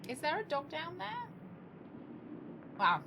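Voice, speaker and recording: A woman doing casual live game commentary, excitable and playful, reacting aloud and swearing freely. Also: sits high, slow pace, background noise. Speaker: game streamer. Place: indoor room, live gaming stream.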